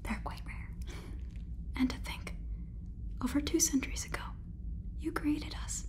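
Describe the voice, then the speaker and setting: A woman in her twenties doing a whispered ASMR role-play as a polite, curious AI robot overlord, speaking softly and haltingly close to the microphone. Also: high voice, steady tone. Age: 20s. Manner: soft, halting, deliberate whisper. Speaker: ASMR performer. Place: small room indoors, close up. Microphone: close sensitive microphone.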